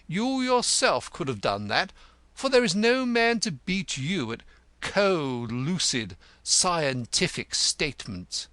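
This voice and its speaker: A man's voice: sits high, pushed and bright, no room sound, pitched above the performer's own voice, heavily acted. A man voicing a pompous, self-important male poet in an audiobook, speaking in a florid, easily offended way. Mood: indignant, boastful. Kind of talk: audiobook character voice.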